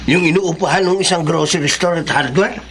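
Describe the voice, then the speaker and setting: A man's voice: on the high side, a little fast, very noisy, lightly acted. A man playing a greedy, calculating brother with a Filipino accent in a radio drama. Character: greedy, calculating brother coveting rental building.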